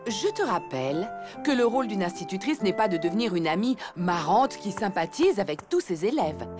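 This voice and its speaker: A sensible cartoon mum, played by a woman. Her medium-pitched voice moves up and down expressively, affectionate and motherly while staying reasonable. There is music behind her. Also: big pitch swings, normal speed, close to an everyday voice. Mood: reasoning. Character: kind, sensible elephant mother. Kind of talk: French children's cartoon dialogue. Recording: music underneath.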